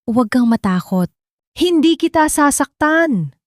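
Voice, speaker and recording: Gentle, reassuring rich man, voiced by a woman. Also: high-pitched, expressive, a little slow, no echo, studio quality, voice pushed up. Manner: soft-spoken, reassuring. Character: gentle, sorrowful rich man, a bereaved father.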